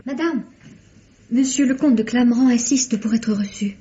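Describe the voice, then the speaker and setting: An actress playing a deferential household maid, formal in manner, in old French radio drama style. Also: female voice, a little high, normal speed, warm tone, soft background music, lightly acted. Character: deferential maid announcing a visitor. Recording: dull lo-fi vintage radio recording.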